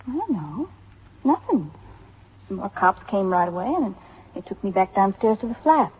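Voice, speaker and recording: An actress playing a defiant, sassy suspect's wife in an old-time radio detective drama, talking tough, slangy and impatient. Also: female voice, mid-range voice, big pitch swings, moderate pace, darkish tone, slightly rough, poor audio. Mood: irritated, wary.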